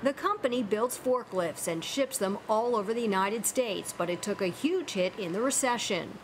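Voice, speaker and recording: A woman hosting a news program, narrating a report and then interviewing studio guests in an even, measured way. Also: medium pitch, slight background noise. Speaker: news show host.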